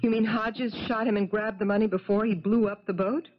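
A woman's voice: medium pitch, fairly even intonation, fairly quick, slight background noise, lightly acted. An actress playing a defensive, scheming widow in a 1970s radio mystery, curt and haughty as she challenges questions. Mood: startled, suspicious. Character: sharp-tongued, defensive, scheming widow under police questioning. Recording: dull, lo-fi 1970s radio broadcast.

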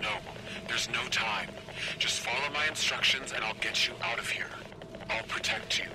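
An actor playing a calm, secretive male guide giving escape instructions in a video game. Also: mid-range voice, moderate pace, background noise, close to an everyday voice. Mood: urgent, hushed. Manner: instructing, controlled. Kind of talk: dramatic video game acting. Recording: loud music over the voice.

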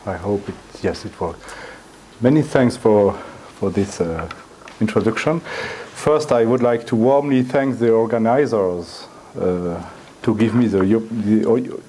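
Middle-aged male, French accent, unhurried academic lecture, hesitant and repetitive phrasing. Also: lowish voice, dark tone, slight background noise, poor audio. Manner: halting, repeats words while searching for phrasing. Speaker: guest lecturer. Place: university lecture room, indoors.

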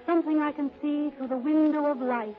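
An actress playing a young, innocent, romantic secretary in a 1930s radio drama, wistful and theatrical. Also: female voice, sits high, steady tone, brisk, natural voice. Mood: dreamy, wistful. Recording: muffled vintage radio recording, faint music.

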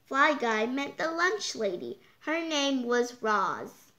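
A child reading a picture book aloud, halting and stumbling over words, with exclaimed side comments. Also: high-pitched, very animated, sharp tone. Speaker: storytime reader. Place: indoors, hands holding book before the camera.

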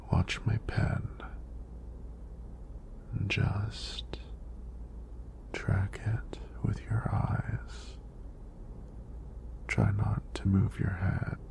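A man playing a gentle, flirty EMT in a soothing, soft-spoken ASMR roleplay, breathy and deep, with reassuring praise. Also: full character voice. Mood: soothing, gently flirty. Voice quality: deep, gravelly, breathy near-whisper. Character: gentle, flirty hunky male EMT examiner.

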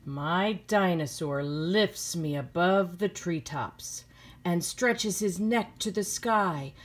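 A woman's voice: fairly low pitch, slow. A middle-aged woman reading a children's picture book aloud expressively, with simple phrasing for young listeners.

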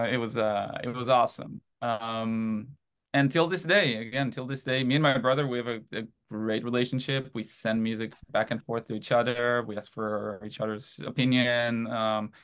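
A man in his thirties from Israel, telling his story in a casual interview over a video call from his home, rambling with lots of fillers. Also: mid-range voice. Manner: rambling, animated anecdotes with many fillers. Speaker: band drummer, guest. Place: home room.

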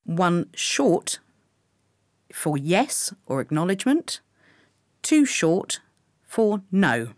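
A woman reading scripted instructional narration for pilot training in a measured, clearly enunciated way. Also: fairly low pitch, no room sound, studio quality.

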